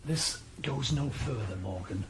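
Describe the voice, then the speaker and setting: A man's voice: medium pitch, expressive, measured pace, reverberant, slight background noise, natural voice. An actor playing a smug, coolly threatening male cocaine dealer in a radio play, with a sneering manner. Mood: boastful. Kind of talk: 1980s radio drama acting.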